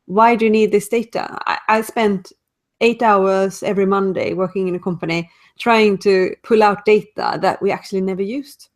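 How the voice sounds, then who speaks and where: A woman's voice: mid-range voice, fairly even intonation, moderate pace. Swedish-accented woman on a video call. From Sweden. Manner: thoughtful, searches for words. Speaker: guest, speaker and author. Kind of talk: casual interview answers.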